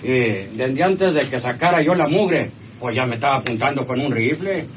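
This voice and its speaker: A man's voice: mid-range voice, lively intonation, normal speed, lightly acted. A man with a Mexican accent playing a cowardly, servile ranch henchman in a radio drama, rustic and hesitant. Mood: sheepish. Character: cowardly, servile ranch henchman eager to please his boss. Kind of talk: radionovela acting. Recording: noisy old radio serial recording.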